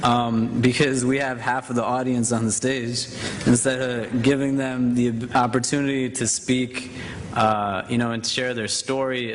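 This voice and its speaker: Male panel moderator, casual and self-mocking, mid-pitched, live stage mic with slight hiss. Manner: casual, self-deprecating, many fillers. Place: conference hall stage.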